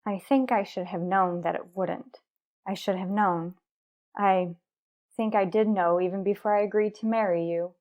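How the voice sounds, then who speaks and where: An actress playing a resolute, aggrieved wife and mother in a radio soap, earnest, deliberate and restrained. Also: medium pitch, steady tone, darkish tone, close to an everyday voice. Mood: resigned, bitter. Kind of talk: revived daytime radio soap acting.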